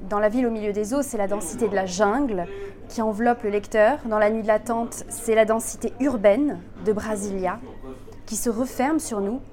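A woman's voice: mid-range voice, steady tone, normal speed, a little mellow. A woman interviewing a novelist, asking composed, formal questions. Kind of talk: prepared literary interview questions.

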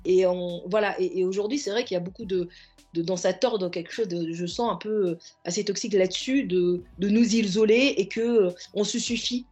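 A woman's voice: medium pitch, normal speed, a little mellow, faint music behind. A woman therapist and coach, a podcast guest, speaking earnestly in reflective interview talk and often circling back to find her words. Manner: earnest, searching for words, stacking restarts.

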